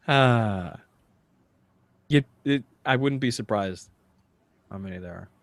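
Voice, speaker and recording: A man's voice: mid-range voice, takes it slowly, fairly bright tone, dry recording. A man chatting and bantering casually as a podcast co-host.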